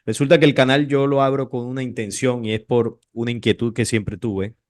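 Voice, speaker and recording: A man's voice: medium pitch, level tone, a little fast, no echo, studio quality. A man from Colombia, a guest on an interview, speaking in a polite, thoughtful way. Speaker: guest, channel creator. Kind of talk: casual interview chat.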